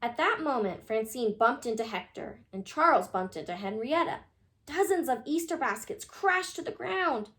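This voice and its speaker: A woman reading a children's picture book aloud in an animated, expressive way, addressing young listeners. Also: on the high side, moderate pace.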